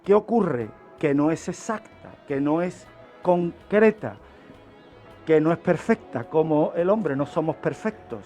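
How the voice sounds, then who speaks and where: A man's voice: on the high side, expressive, a little slow, mellow, faint music behind. A man giving an explanatory radio talk as a criminologist, teaching by asking questions and answering them himself. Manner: didactic, asks and answers own questions. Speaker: criminologist presenting a radio segment.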